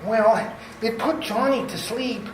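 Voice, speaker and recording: Anxious radio-play doctor sidekick, female actor, slightly high voice, noisy echoey room. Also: slow pace, a little mellow. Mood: placating. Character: nervous sidekick doctor of a crook. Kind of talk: amateur radio play acting.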